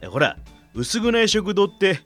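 A man's voice: high voice, very animated, measured pace, a bit bright, soft background music, full character voice. A man playing a drunken, pushy middle-aged train passenger in a radio drama, rambling and repeating himself chummily. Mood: chummy, insistent. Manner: rambling, repetitive, stammering, over-familiar.

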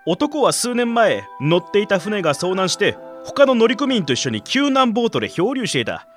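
A middle-aged man played by an actor poses riddles in a radio drama. His speech is rough and teasing, with a playful yet menacing temper. The pitch sits slightly high and moves a lot, with music faintly behind. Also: big pitch swings, moderate pace. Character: gruff, playful older stranger posing riddles, quietly menacing. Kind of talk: radio drama dialogue and storytelling.

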